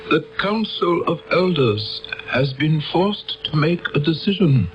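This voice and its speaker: Very slow, solemn council elder. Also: male voice, on the high side, animated. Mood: grave, implacable. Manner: solemn, deliberate. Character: cold, commanding elder of a futuristic council. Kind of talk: radio mystery drama acting. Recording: dull old radio broadcast, some noise.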